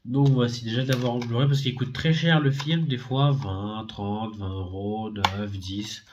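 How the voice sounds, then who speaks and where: A man with a cold chats about his discs in a middle-pitched voice, stumbling over fillers and correcting himself as he goes. The recording is slightly muffled and lo-fi. The overall feel is relaxed and unpolished. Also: measured pace, background noise. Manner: rambling, hesitant with many fillers and self-corrections. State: has a head cold. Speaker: DVD and Blu-ray collector. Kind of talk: casual unboxing video.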